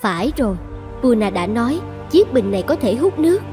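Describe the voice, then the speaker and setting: Woman acting high-voiced proud dragon goddess. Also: very animated, a little fast, dark tone, faint music behind. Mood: searching, puzzled. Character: proud dragon goddess, devoted wife turned vengeful. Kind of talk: dubbed cartoon fairy-tale acting.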